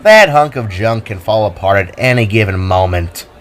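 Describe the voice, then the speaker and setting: A man voicing a grumpy, skeptical female alien who talks gruffly in a homemade audio drama. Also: lowish voice, animated, moderate pace, close to an everyday voice. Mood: scoffing. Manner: gruff, dismissive.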